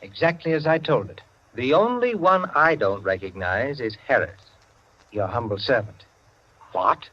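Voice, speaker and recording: A man's voice: medium pitch, expressive, a little slow, a little hiss or hum, natural voice. An actor playing a pompous, condescending clubman storyteller in a 1940s radio drama, heard on a dull old broadcast. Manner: lecturing, condescending.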